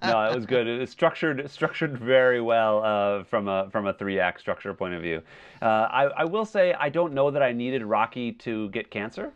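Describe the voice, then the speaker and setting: Slightly muffled lo-fi audio, guy cohosting a movie podcast, clean smooth voice, measured and kinda hedging. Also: medium pitch, lively intonation. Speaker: podcast co-host. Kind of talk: casual movie chat. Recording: slightly dull, lo-fi sound.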